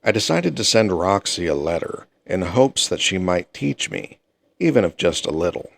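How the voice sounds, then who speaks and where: A man reading a fantasy novel aloud in the first person, at an even, unhurried pace. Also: low-pitched, creaky. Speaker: audiobook reader.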